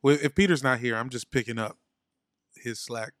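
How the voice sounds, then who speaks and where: A man's voice: mid-range voice, normal speed, a bit bright. A man co-hosting a podcast in casual comedic banter, riffing playfully. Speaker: podcast co-host.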